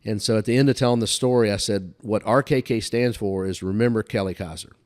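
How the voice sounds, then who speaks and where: An older man with a fairly low voice tells stories as an interview guest. His delivery is casual and folksy, and he likes to recount who said what. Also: brisk. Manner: folksy, recounts dialogue back and forth. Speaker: podcast guest telling career stories. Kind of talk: casual anecdotal storytelling.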